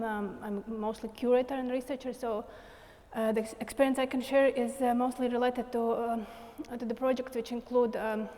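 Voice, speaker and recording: Woman panelist, higher flat voice, hesitant and repetitive, long hall reverb. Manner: halting, repeats words. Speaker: curator and researcher, panelist. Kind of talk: academic panel talk.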